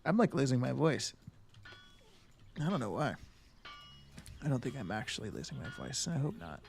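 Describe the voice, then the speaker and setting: A man's voice: mid-range voice, very animated, moderate pace, gravelly. A man live-streaming a game, chatting casually with viewers. He thinks aloud and answers chat with lots of fillers and restarts.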